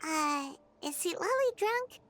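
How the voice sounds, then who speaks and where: A woman's voice: very high-pitched, animated, slow, fairly bright tone, exaggerated voice. A woman voicing a tiny, chirpy, childlike fairy companion in an anime fantasy game, exclamatory in manner. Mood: curious, teasing. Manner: refers to self in third person, exclamatory.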